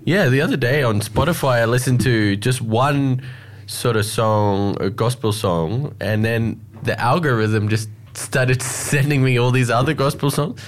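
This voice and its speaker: An Australian man hosting a radio show, chatting in a relaxed, storytelling way with plenty of fillers. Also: mid-range voice, unhurried, few pauses. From Alice Springs, Australia. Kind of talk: casual radio chat.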